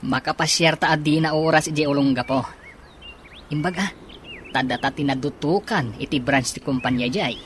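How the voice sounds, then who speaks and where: A man's voice: fairly high pitch, normal speed, fairly bright tone, lightly acted. Upbeat Ilocano buddy played by a man, faint noise. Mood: encouraging. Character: supportive young male buddy, consoling and upbeat. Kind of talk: Ilocano radio drama acting.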